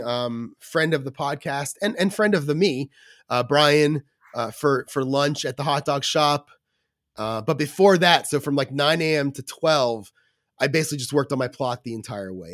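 A man's voice: medium pitch, fairly bright tone, no room sound, clean studio sound. A man co-hosting a podcast in casual chat, his voice scratchy from a cold, rambling with fillers and restarts. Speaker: podcast co-host.

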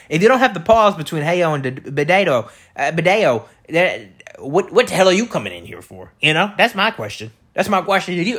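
A man with a loud, projecting voice rambling through a comedic solo monologue as a podcast host, repeating himself and tossing out rhetorical questions. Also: on the high side, husky. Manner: repetitive, riffing with catchphrases and rhetorical questions. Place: indoor room beside an open window.

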